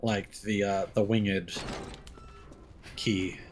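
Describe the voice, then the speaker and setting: A man's voice: fairly low pitch, flat delivery, very slow, slight background noise. A man streaming a game with casual commentary, thinking aloud as he plays.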